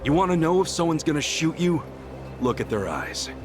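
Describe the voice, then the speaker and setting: A man's voice: a little high, very animated, normal speed. A man playing a brash, good-natured, streetwise tough guy in a game cutscene, talking bluntly and casually in slang. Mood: cool, defiant. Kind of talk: English video game cutscene dub acting. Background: music.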